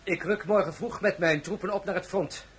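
Casting: formal army officer, bright forceful voice, slightly high, courteous and deliberate, radio drama. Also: male voice, slight background noise. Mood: formal, resolute. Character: formal, courteous military officer addressing assembled guests.

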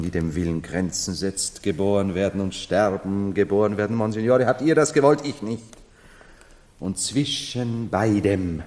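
A male actor plays a brooding self-styled emperor in a lowish voice, imperious and theatrical. He halts, then rushes on, in 1970s radio drama manner, inside a long hall reverb. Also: a little mellow, lightly acted. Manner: theatrical, halting then rushing, solemn. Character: unsettled man who believes himself emperor, brooding, imperious.

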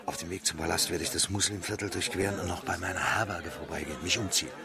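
A man's voice: low voice, steady tone, fast talker, vocal fry, a crowd in the background. A man playing a bluff, hard-drinking military officer of imperial China in a radio drama, blunt and brash in manner. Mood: wary, dry.

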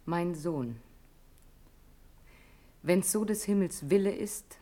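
A woman's voice: lowish voice, lively intonation, slow, mellow. An actress playing a grave, compassionate noblewoman, a motherly aunt, in formal, composed classical radio-drama verse. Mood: consoling, firm.

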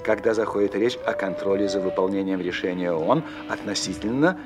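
An actor playing a learned, self-important scientific official in a radio play, explaining things in a deliberate, hesitant way, as if answering an interviewer. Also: male voice, fairly low pitch, music in the background, natural voice. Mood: earnest explanation.

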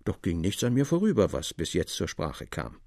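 Raspy gravelly guy narrating an old literary tale, very animated, dry close studio sound. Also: sits low, normal speed, no room sound. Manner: expressive, theatrical delivery. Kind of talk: scripted literary narration with acted quotes, radio production.

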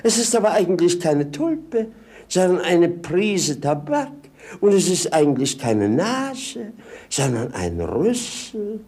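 An actor playing a witty, earthy vagabond companion in 1950s radio drama, declaiming with theatrical mock grandeur. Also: male voice, high voice, sing-song, takes it slowly, a little mellow, slightly rough, heavily acted.

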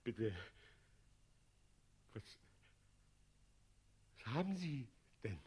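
An actor playing an oily, evasive elderly mayor with a wheedling, falsely polite way of talking, in a radio play. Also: male voice, mid-range voice, very animated, very slow, darkish tone, slightly rough, exaggerated voice. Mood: fawning. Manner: unctuous, wheedling, feigned politeness. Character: oily, evasive elderly mayor, cowardly tyrant feigning concern. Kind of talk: 1960s German radio play acting.

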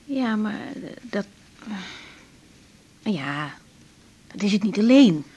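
An actress playing an earnest, troubled young female doctor who speaks haltingly in a husky voice, in a naturalistic radio drama. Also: medium pitch, lively intonation, mellow, slight background noise. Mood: hesitant, troubled. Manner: halting, searching for words.

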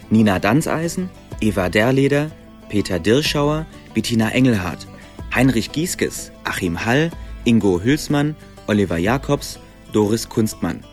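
A man's voice: on the low side, expressive, a little slow. A man reading out radio drama credits as a scripted announcement. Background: faint music.

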